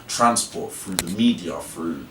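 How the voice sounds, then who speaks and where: Deep-voiced young man presenting in an echoey room. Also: lively intonation, a little slow. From England. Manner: hesitant, restarting phrases often. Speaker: student presenter. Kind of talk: school presentation. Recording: echoey room, from a distance, noisy.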